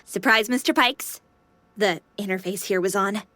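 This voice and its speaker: A woman's voice: mid-range voice, moderate pace, sharp tone, no room sound, natural voice. An actress playing a cute, devoted AI maid girl in a video game, speaking in a polite but teasing, pert manner.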